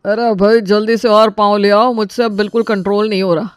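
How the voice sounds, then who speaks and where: A man's voice: high-pitched, level tone, brisk, clear, resonant tone, no room sound, natural voice. A man voicing a playful, good-natured, food-loving family man in an Indian cartoon story. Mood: eager.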